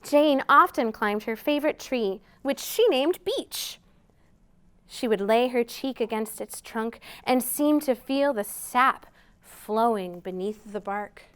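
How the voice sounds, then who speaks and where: Woman, high clear voice, unhurried, children's storytime host, expressive read-aloud. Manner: expressive, simple questions and prompts to children.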